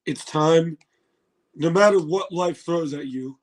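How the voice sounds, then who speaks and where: A hoarse man hosting a casual livestream reaction, excitable and laughing, swearing now and then, recorded on a phone. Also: a little high, slow. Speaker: livestream host.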